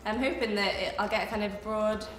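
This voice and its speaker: Fast-talking young woman from North Yorkshire. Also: mid-range voice, fairly even intonation. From North Yorkshire, England. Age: young adult. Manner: chatty, with frequent fillers. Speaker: graduate management trainee. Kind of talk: casual interview answers. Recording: roomy sound with music behind.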